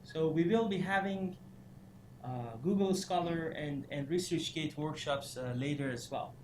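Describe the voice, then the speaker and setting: A man raised in Iran giving a career workshop lecture, halting with many fillers and restarts, breaking into animated asides. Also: fairly high pitch. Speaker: career development instructor. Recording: echoey room, faint noise.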